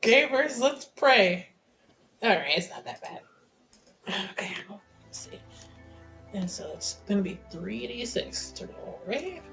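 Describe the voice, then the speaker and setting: A woman's voice: fairly high pitch, animated, takes it slowly, gravelly, fairly bright tone, faint music behind. A woman playing a tabletop roleplaying game, chatting casually about what her character does.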